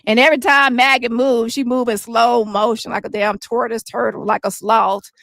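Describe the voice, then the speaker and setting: A woman streamer ranting with heavy profanity, roasting critics with quick insults in a squeaky voice. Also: flat delivery, creaky, fairly bright tone, dry recording, studio quality. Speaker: commentary streamer. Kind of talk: ranting commentary.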